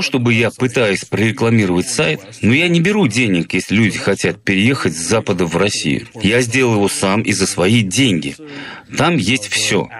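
A man plays an outspoken American expat blogger in an interview voice-over. His voice sits on the low side and moves around a lot in pitch. The delivery is emphatic yet conversational. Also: fairly bright tone.

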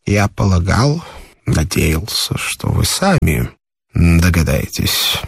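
A man voicing an awkward older male bank director who speaks haltingly and reproachfully. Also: deep voice, sing-song, gravelly, breathy edge, no echo, clean studio sound, voice pushed down, exaggerated voice.

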